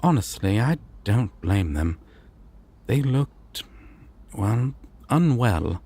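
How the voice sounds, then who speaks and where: Man as a courteous, slow-spoken god of dreams. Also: mid-range voice, sing-song, warm tone, breathy edge, full character voice. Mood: polite, apologetic. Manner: polite, formal, measured, theatrical pauses. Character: courteous, formal male deity of dreams, calmly mischievous. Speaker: voice actor in audio roleplay. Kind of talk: immersive roleplay addressed to the listener.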